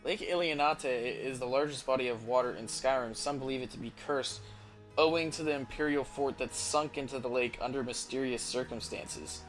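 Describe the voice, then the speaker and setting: A man's voice: mid-range voice, fairly quick, soft background music. A man giving casual, profane and easily amused commentary while streaming a game from a room at home.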